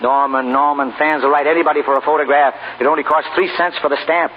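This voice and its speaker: A man's voice: a little high, steady tone, fast, lightly acted. A man playing a genial but blunt film studio boss in a 1940s radio drama. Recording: dull, noisy 1940s radio recording.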